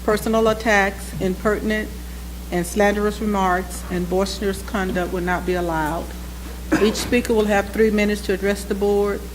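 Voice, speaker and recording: A woman chairs a board meeting in a public chamber, reading procedures aloud in a measured, formal way at a desk microphone. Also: mid-range voice, fairly even intonation, background noise.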